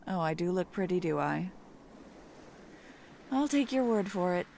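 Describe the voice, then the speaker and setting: A young woman doing a soft, playful, teasing ASMR girlfriend roleplay. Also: on the low side, expressive, unhurried, creaky, a little hiss or hum.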